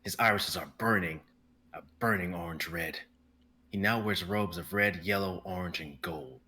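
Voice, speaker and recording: A young man narrating stage directions in a live script reading, brisk and vivid, with the odd stumble. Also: low voice, pushed and bright, a little hiss or hum. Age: young adult.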